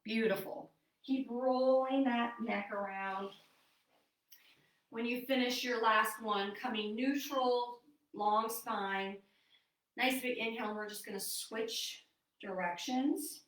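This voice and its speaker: A woman fitness instructor leading a seated online exercise class, chatty with fillers, then giving calm breathing cues. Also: fairly high pitch, slow pace. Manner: chatty and apologetic, with many fillers. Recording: roomy echo, distant from mic.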